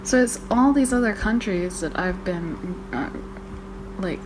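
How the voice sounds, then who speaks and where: A woman's voice: medium pitch, animated, measured pace, music in the background, low-quality recording. A young woman from Malaysia, co-hosting a podcast in casual chat, swearing freely and using lots of fillers. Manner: chatty, swearing, many fillers. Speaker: podcast co-host.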